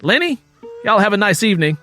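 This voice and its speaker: Guy doing a bossy threatening plantation owner, pitched up and loud, audio drama with music behind. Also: big pitch swings, fast, exaggerated voice. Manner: threatening, commanding. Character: menacing, domineering plantation boss man.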